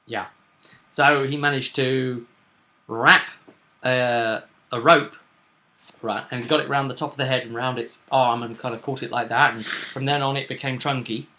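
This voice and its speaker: A man co-hosting as game master, casually recapping a tabletop session with drawn-out, dramatic stresses. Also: mid-range voice, fairly even intonation, sharp tone.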